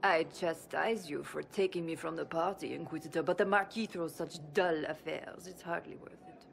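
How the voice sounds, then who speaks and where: An actress playing a snobbish, imperious older minister in a video game, with a creaky voice and a disdainful, deliberate, condescending way of talking. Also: female voice, deep voice, slight background noise. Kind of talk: video game cutscene acting.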